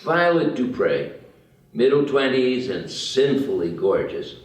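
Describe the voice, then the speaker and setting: Slow-paced man reading stage directions, very echoey. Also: mid-range voice, very animated. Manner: measured, matter-of-fact. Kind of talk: scripted narration at a screenplay table read. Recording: very echoey room, distant mic.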